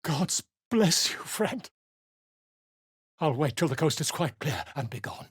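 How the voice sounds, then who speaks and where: An actor voicing a flamboyant, pompous male writer and bard in a video game, speaking in a theatrical, florid, flattering way. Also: a little high, unhurried, breathy edge, no echo, clean studio sound, exaggerated voice. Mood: grandiloquent.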